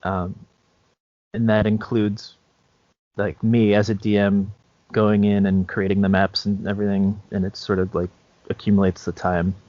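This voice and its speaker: A man co-hosting a podcast, explaining things informally and a little haltingly. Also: on the low side, flat delivery, no echo. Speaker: podcast co-host. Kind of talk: casual podcast chat. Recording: dry, dull lo-fi recording.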